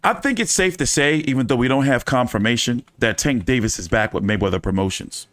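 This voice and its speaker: A man's voice: medium pitch, expressive, brisk, fairly bright tone, dry recording, studio quality. Loose, profane man chatting about boxing. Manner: loose, profane, rambling, repeats phrases. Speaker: boxing news channel host. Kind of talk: casual fan chat commentary.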